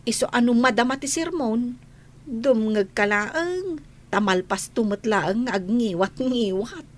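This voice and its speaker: High, drawling, gossipy housemaid. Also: female voice, lively intonation, a little slow. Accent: Ilocano. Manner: teasing, drawling, giggly. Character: gossipy, teasing older-sister housemaid. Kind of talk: Ilocano radio comedy drama acting.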